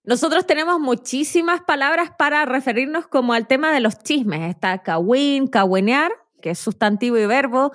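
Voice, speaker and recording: Brief: chilean woman podcast host, high bright pitch, dry close sound, playful and chatty. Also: normal speed, few pauses, dry recording. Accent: Chilean Spanish. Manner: animated, laughs often, playful quizzing. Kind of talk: casual conversation with a guest.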